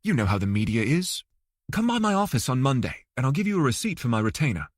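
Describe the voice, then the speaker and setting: A man's voice: mid-range voice, big pitch swings, fast, no echo, studio quality. A man voicing a formal, direct, heavyset woman lawyer in an audiobook. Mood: businesslike. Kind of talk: audiobook character dialogue.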